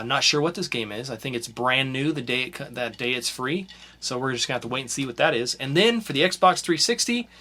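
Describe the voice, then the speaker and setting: A man co-hosting a casual podcast, opening with a hyped intro and then chatting relaxed through the news. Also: mid-range voice. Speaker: podcast co-host.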